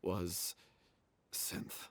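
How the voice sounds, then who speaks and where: A man acting a guilt-ridden member of a theatre troupe in a video game, speaking in a halting, reluctant way. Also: no room sound. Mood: regretful confession. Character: guilt-ridden young troupe actor confessing a secret.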